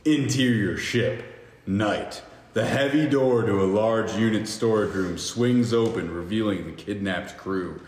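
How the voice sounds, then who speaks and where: A man hosting a podcast, reading screenplay stage directions aloud in a halting, jokey amateur way with stumbles. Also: on the low side, a little mellow. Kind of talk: amateur screenplay table read. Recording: echoey reverberant room with faint hiss.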